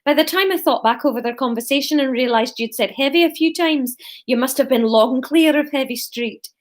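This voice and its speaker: A woman's voice: sits high, a little fast, voice pushed up, natural voice. A woman voicing a cheery, ageing conspirator with a Scottish accent, explaining things in a live story reading. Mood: cheery, rueful. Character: cheery old conspirator, Scottish accent, bruised but unbothered.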